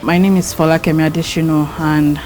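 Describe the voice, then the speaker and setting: A woman's voice: sits low, little pitch movement, fairly quick, darkish tone. A woman from Nigeria, a charity's country leader, talking conversationally in an interview.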